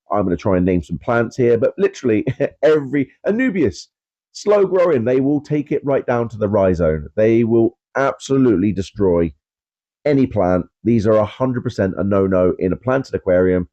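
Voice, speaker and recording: A man's voice: lowish voice, dark tone, dull audio. Stuffy-nosed man chatting about fish. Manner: rambling, filler-heavy, self-deprecating about pronunciation. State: congested with a cold. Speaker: aquarium channel host. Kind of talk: casual chatty video talk.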